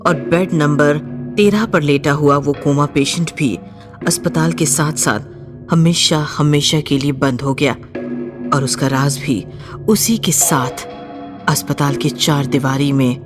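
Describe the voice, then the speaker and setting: A woman's voice: low-pitched, slow pace, music in the background. A woman giving dramatic scripted narration of a horror story.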